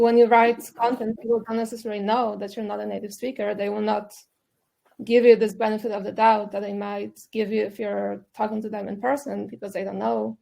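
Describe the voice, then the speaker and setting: A woman's voice: mid-range voice, gravelly, warm tone. A woman whose first language is Polish, speaking as a panelist in a discussion, thoughtful and loose with fillers.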